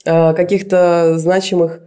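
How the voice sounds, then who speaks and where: Female radio guest, mellow voice, earnest, hesitant, fills pauses often. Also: lowish voice, flat delivery, a little hiss or hum. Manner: hesitant, many fillers, earnest. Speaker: foundation director, guest. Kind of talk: radio interview.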